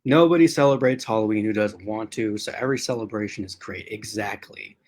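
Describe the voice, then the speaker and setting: A man hosting a casual livestream, chatting with commenters in a rambling, excited way, with a strained voice he says he is losing. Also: lowish voice, brisk. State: losing his voice, clearing throat. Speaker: host.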